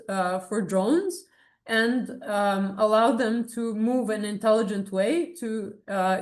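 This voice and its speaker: A woman's voice: medium pitch, a little mellow. A woman in her thirties, born in Serbia and raised in Abu Dhabi, gives a research presentation to colleagues in an informal, explanatory way with frequent hesitations. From born in Serbia, grew up in Abu Dhabi. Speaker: academic researcher giving a talk.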